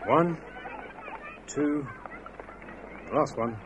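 A man's voice: on the high side, drawn-out words, background noise. A man narrating and playing a pompous Victorian doctor in a comic radio play. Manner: pompous, theatrical. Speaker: narrator and lead actor.

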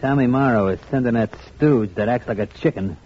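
This is a man voicing a boastful gangland boss in a vintage radio play, full of swagger and slang. His voice sits at an ordinary middle pitch. The old broadcast is dull and lo-fi with faint hiss. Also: brisk, close to an everyday voice. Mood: cocky. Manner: cocky, swaggering slang talk. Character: brash, boastful male gangster boss. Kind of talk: 1940s radio acting.

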